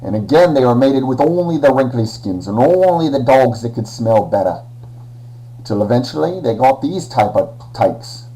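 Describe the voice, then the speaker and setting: A man's voice: mid-range voice, expressive, moderate pace, dark tone, low-quality recording. A man presenting a playful science lesson in a put-on Australian accent, full of slang. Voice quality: slangy Aussie put-on, drawling. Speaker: educational presenter.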